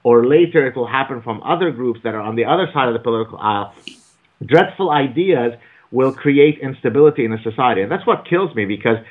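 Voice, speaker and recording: A man's voice: medium pitch, expressive, fast. A man speaking as an author and guest in an interview, emphatic and argumentative, lecturing at length. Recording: phone-like narrow audio.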